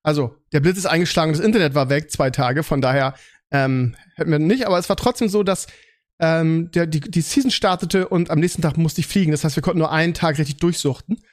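A man hosting a podcast in casual chat, rambling along and often halting to search for words and correct himself. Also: a little high. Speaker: podcast host.

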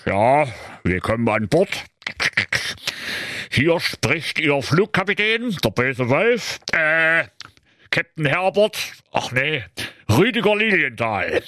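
Man playing a bright, drawling wolf pilot. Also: fairly high pitch, very animated, slow, pauses often, no room sound, studio quality, pitched above the performer's own voice, heavily acted. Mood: smug, rambling. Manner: drawling, hesitant, bumbling announcement. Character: rambling sly wolf posing as airline pilot. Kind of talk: comedic fairy-tale storytelling.

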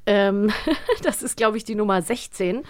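A woman's voice: mid-range voice, unhurried, no echo, studio quality. Chatty German woman co-hosting a podcast. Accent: standard German. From Rhineland, Germany. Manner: chatty, explanatory. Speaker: podcast host; voice actor. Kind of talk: casual podcast chat about audio dramas.

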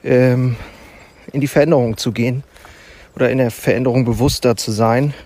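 A man hosting his own podcast, talking reflectively while walking, unhurried and thoughtful with pauses and fillers. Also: mid-range voice, steady tone, darkish tone. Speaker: podcast host. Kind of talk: reflective solo podcast monologue. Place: outdoors in a forest. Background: faint forest ambience and animal sounds.

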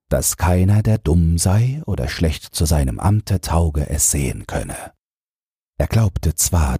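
A man's voice: very deep voice, warm tone, breathy edge, no echo, studio quality. A man narrating a fairy tale as a bedtime audiobook, reading a scripted text as a storyteller.

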